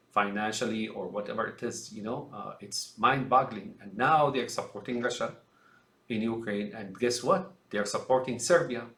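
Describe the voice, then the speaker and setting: A man's voice: on the low side, pushed and bright, reverberant. A man from Syria giving a rambling, emphatic political monologue, often calling his listeners folks. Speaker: commentator.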